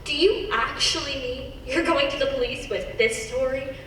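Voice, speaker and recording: A woman acts a defiant, spirited young lady in a radio play. Her high, piercingly bright voice is sarcastic and theatrical. It carries from a distance in an echoing hall. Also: normal speed. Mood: mocking. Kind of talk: stage-like radio play acting. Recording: distant, echoey hall, noisy.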